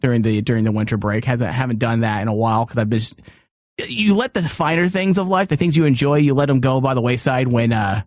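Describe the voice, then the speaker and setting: A middle-aged man hosting a talk show with casual comic banter and animated, incredulous questioning. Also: medium pitch, fast, dry recording, clean studio sound.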